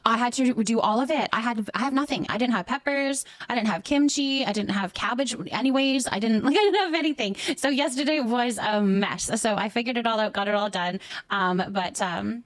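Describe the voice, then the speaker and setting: A woman's voice: fairly high pitch, a little fast, no echo. A woman livestreamer chatting casually with her viewers, effusive and affectionate, often repeating words and short phrases as she thanks them. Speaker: live streamer hosting a chat.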